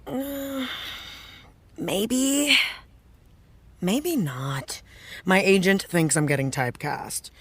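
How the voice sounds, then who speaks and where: A woman's voice: medium pitch, very slow, long pauses, husky, no room sound. A woman playing a jaded, self-doubting American television actress in an audio drama, talking casually and wryly with trailing thoughts. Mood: anxious, wry. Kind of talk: naturalistic audio drama dialogue.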